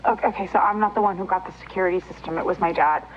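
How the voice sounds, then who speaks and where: A woman's voice: lowish voice, very fast, close to an everyday voice. A woman playing an exasperated, flustered customer on a late-night phone call, casual and rambling. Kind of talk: naturalistic phone call acting. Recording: dull, hissy phone call.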